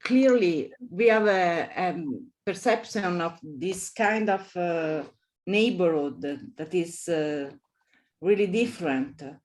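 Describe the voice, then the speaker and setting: A woman with an Italian accent, a native Italian speaker, answering as an academic guest and haltingly searching for her English words. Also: lowish voice, a little mellow. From Italy. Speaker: architecture professor, guest lecturer. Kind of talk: academic discussion at a webinar.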